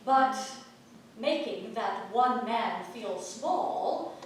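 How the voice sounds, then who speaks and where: A woman's voice: fairly high pitch, lively intonation, very slow. An actress playing a proud, wry married townswoman of early modern England in a staged play reading. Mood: contrite. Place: playhouse stage. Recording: echoey theatre from far off, faint noise.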